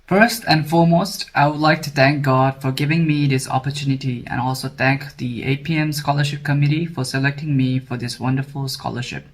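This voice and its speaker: A man in his twenties from Sri Lanka, a scholarship candidate, reading a prepared testimony in a formal, read-aloud way. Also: mid-range voice. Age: 20s.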